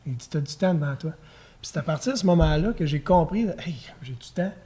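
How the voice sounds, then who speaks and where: Quebec french guy, 30s, kinda high voice, laid back, chatting on a podcast. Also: fast talker, slightly rough. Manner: relaxed storytelling with fillers and chuckles. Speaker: guest, former baseball pitcher. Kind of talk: casual podcast conversation.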